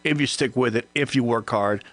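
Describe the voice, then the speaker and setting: A man in his fifties, from Cuba, chatting as a guest on a radio show, relaxed, laughing easily and stammering on his starts. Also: medium pitch, brisk, dry recording. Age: 50s. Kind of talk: casual radio interview.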